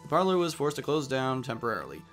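A man's voice: mid-range voice, very animated, fast talker, fairly bright tone. A young man giving casual, wry commentary while playing a video game and reading the on-screen text aloud. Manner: chatty, wry asides, reads game text aloud with put-on voices. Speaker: let's play host. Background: faint game music.

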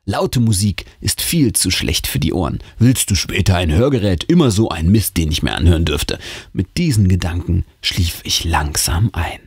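A man narrates a horror audiobook with theatrical suspense. His voice is slightly low and husky, swinging widely in pitch, and the sound is close and dry. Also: animated, normal speed, no echo. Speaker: host and narrator reading a horror story. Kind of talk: dramatic audiobook storytelling.

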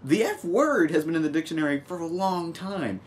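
A man's voice: on the high side, expressive, normal speed. A young man from the United States hosting a casual news vlog at home, reading stories and adding sarcastic, joking asides. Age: young adult. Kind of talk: casual humorous news commentary. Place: small home room with desk, indoors.

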